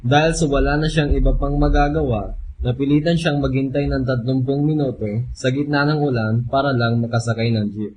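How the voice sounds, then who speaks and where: A man narrates a radio drama from a script. His voice sits at a medium pitch, with faint ambient noise behind it. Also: fairly even intonation, fairly quick, a little mellow.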